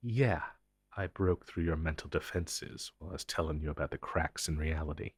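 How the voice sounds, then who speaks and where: A man playing an ancient, powerful supernatural being in an audio roleplay, speaking gravely and commandingly with dramatic pauses. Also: dry recording. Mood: cold, probing. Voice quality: low, husky. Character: ancient, powerful, possessive male supernatural being, grim secret-agency superior. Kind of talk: intense dramatic audio roleplay monologue addressed to listener.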